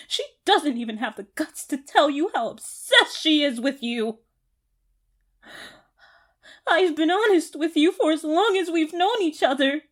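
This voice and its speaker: Girl doing a crazy possessive captor lady for asmr roleplay, high pitched, slow n deliberate, obsessive and gloaty. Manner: deliberate, theatrical, broken by giggles.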